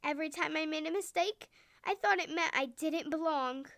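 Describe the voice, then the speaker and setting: A woman's voice: high-pitched, normal speed, a bit bright, no room sound, studio quality. A woman voicing a cheerful, reassuring eel who swims, with a kindly, confiding manner, in a bedtime story.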